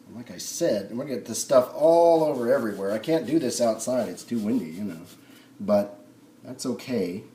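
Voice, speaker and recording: A man thinking aloud in an unhurried way as he gives a casual hands-on tutorial at a workbench. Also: medium pitch, lively intonation, dark tone. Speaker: hobbyist demonstrating a restoration. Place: indoor home workshop. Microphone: camera on the workbench, speaker off-screen. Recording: noisy camera audio, background noise.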